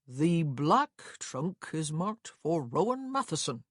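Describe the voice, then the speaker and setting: A woman's voice: low voice, sing-song, slow pace, slightly rough, no room sound, studio quality, heavily acted. A woman voicing a nosy, officious male stagecoach clerk in an audiobook, chatty and rambling. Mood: prying. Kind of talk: acted audiobook dialogue.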